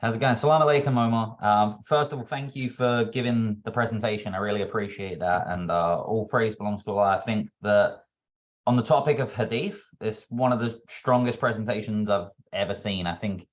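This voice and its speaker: A man from Texas asking a question at a discussion, speaking in a measured, courteous way and reading quotations aloud. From Texas, United States. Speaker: audience member asking a question. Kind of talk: polite question at an online discussion. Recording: dull, low-fidelity connection.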